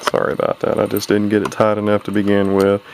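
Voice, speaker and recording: A man's voice: lowish voice, completely flat delivery, fast, gravelly. A man casually narrating his own hands-on radio repair video in a rambling, folksy way, often correcting himself as he goes. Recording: noisy handheld camera home video.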